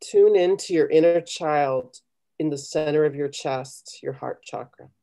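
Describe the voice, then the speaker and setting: A middle-aged woman with a low, mellow voice talks on a video call. She coaches conversationally and gives encouraging, step-by-step meditation instructions. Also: measured pace. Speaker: guest leading a guided meditation. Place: small home room, indoors. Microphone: computer video call.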